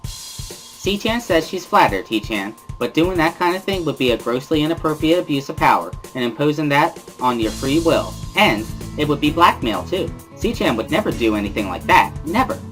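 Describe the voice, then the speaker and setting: A man acts out a bubbly teenage schoolgirl from a visual novel. The voice sits high and pushes loud, exclamatory and teasing, with music playing behind it. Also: animated, normal speed. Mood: chirpy, insistent. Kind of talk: dramatic reading of a visual novel.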